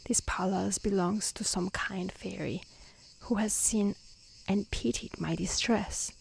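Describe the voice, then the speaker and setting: A woman voicing a humble, polite merchant father in a storybook reading, soft, breathy and deferential. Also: medium pitch, steady tone, slow, exaggerated voice. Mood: grateful. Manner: courteous, deferential, formal. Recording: faint outdoor insect noise.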